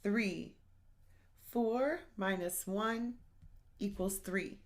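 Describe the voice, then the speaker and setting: Lady doing kids storytime read aloud, middle pitch, real slow and playful, kinda sing-songy. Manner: playful, sing-song, addresses young listeners directly. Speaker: children's storytime host. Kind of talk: animated picture book read-aloud for young children.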